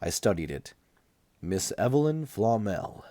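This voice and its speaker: A man's voice: lowish voice, big pitch swings, unhurried, mellow, dry recording, clean studio sound. A man reading a first-person novel aloud as an amateur audiobook narrator.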